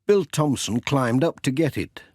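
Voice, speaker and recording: Slow, very expressive male storyteller. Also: medium pitch, slightly rough, no echo, clean studio sound. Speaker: audiobook narrator. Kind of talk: children's story narration.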